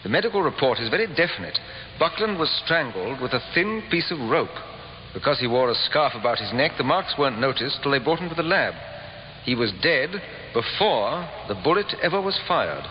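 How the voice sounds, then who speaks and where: An actor playing a courteous, shrewd police inspector in a 1950s radio drama, probing in a formal, polite way. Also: male voice, mid-range voice, sing-song, a little fast, slight background noise, lightly acted. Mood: grave, puzzled. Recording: muffled, echoing old radio recording.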